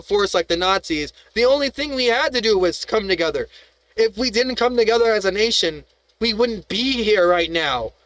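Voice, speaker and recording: A man's voice: high-pitched, a bit bright, no room sound, low-quality recording. A man hosting a live stream, ranting in a heated, profane, rambling way, full of restarts and rhetorical questions.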